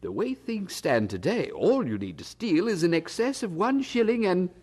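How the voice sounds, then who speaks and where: Period audio drama: hearty, self-satisfied older brother, husky, very animated delivery. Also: male voice, high voice, moderate pace, heavily acted. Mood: gleeful, condescending. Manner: chuckling, hearty, condescending, stammers when evasive. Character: jovial, blustering older brother, 18th-century English townsman.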